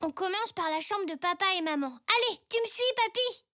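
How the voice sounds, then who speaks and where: An actor voicing an eager, playful young zebra child in a French children's cartoon, sounding bright and enthusiastic. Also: very high voice, lively intonation, fairly quick, a little hiss or hum, heavily acted. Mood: helpful, eager. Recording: music behind the voice. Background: children's cartoon music.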